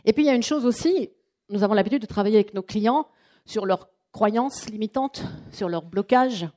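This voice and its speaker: A woman runs a training workshop for a room of people. She talks in a chatty, lively way and acts out what her clients say. Her voice is mellow, and her pitch sits in the middle. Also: moderate pace. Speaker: trainer and coach. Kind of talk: live workshop presentation.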